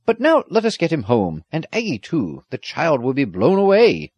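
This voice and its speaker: A proud old soldier from a storybook, voiced by a man, kindly and practical. His delivery is emphatic in pitch, lifted and lively, and closely recorded. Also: normal speed, breathy edge, dry recording, dull audio, heavily acted. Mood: proud, hearty. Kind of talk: audiobook character acting.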